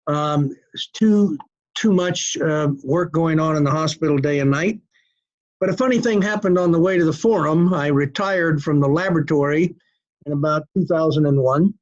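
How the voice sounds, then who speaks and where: A man in his seventies telling stories as an interview guest in a folksy, unhurried, wry manner. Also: fairly high pitch, a little mellow, dull audio. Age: 70s. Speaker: cardiologist guest. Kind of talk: conversational storytelling interview.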